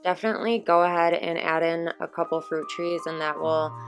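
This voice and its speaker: A young woman hosting her channel, chatting casually over gameplay, her voice a little hoarse. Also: on the low side, a little fast. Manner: relaxed, chatty explaining with fillers. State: slightly hoarse from screaming. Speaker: gaming channel host. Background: soft background music.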